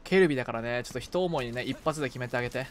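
A man's voice: medium pitch, racing, slight background noise. A man chatting casually while gaming, muttering short item checks to himself and exclaiming in a casual way. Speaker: game streamer, co-op partner.

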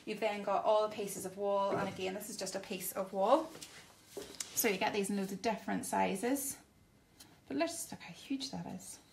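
A woman's voice: mid-range voice, fairly even intonation, moderate pace, gravelly, a little hiss or hum. A woman, enthusiastically demonstrating wooden toys in a toy shop in casual chat. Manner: enthusiastic, upbeat, laughs often. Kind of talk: casual product demo chat.

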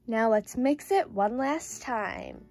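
A cheerful doll girl, played by a woman, speaks in a fairly high voice over music. She is sweet and enthusiastic, explaining things step by step like the host of a toy play video. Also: lively intonation, slow pace, a little mellow, heavily acted. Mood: cheerful, instructional. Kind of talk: scripted doll play narration.

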